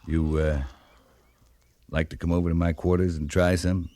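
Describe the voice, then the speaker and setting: An American man playing a laconic, seasoned cavalry captain in a 1950s radio western, talking deliberately with pauses between phrases. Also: low voice, flat delivery, warm tone, no room sound, close to an everyday voice. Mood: easygoing. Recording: old 1950s radio recording.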